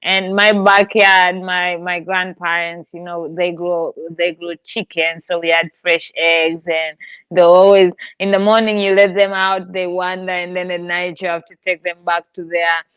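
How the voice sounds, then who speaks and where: A woman hosting a casual podcast interview in an animated, informal, playful way. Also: lowish voice, a little slow, clean tone, no room sound, low-quality recording. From Tanzania. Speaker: podcast host.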